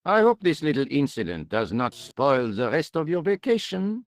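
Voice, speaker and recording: Game role: pompous French inspector, weary yet theatrical, with very animated pitch. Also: male voice, no echo, studio quality. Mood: dismissive, grave. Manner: theatrical, deliberate. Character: pompous, world-weary male French police inspector with eccentric theories. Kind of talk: video game voice acting.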